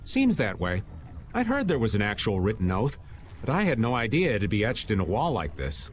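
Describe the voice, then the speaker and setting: Easygoing worldly adventurer, animated melody. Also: male voice, on the low side, moderate pace, slight background noise. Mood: casual, informative. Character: worldly, knowledgeable male adventurer, easygoing explainer. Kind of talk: video game voice acting, exposition.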